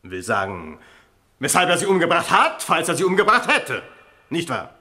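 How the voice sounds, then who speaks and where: An actor playing a pedantic, fussy public prosecutor, formal and insistent, in a satirical radio drama. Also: male voice, fairly high pitch, huge pitch swings, moderate pace, bright, forceful tone, full character voice.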